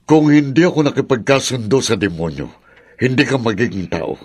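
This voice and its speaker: A man's voice: mid-range voice, very animated, fairly quick, husky. An actor playing a troubled middle-aged father in a horror radio drama, speaking in a grave, insistent way. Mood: insistent, bitter.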